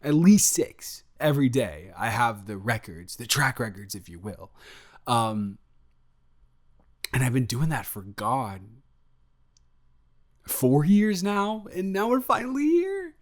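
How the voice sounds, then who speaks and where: A man playing an excited, affectionate boyfriend on vacation in an improvised roleplay audio, rambling and stammering playfully. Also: medium pitch, slow pace, pauses often. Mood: excited, incredulous.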